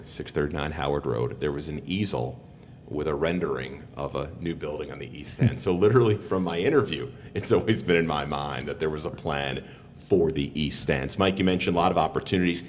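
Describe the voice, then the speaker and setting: A man interviewing on a podcast as a sports broadcaster, with a polished, promotional delivery. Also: fairly quick. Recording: rumbling background noise, long reverberant room.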